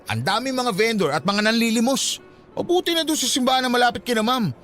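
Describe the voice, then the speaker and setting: A man's voice: very high-pitched, lively intonation, quick pace, fairly bright tone, faint music behind. A man playing a boastful, dismissive young Filipino husband and new father, insistent and argumentative, in a radio drama. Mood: eager, insistent.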